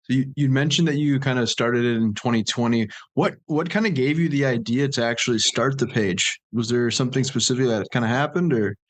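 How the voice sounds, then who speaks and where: Casual podcast host, man in his 30s, mid-pitched, friendly interviewer. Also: fairly quick, few pauses. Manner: friendly, asks questions.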